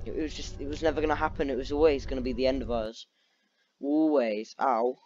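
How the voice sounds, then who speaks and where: A man giving casual gameplay commentary as a video host, sounding tired and low on energy with a dry, sarcastic manner. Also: fairly quick, lo-fi sound. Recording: steady background noise with clicks.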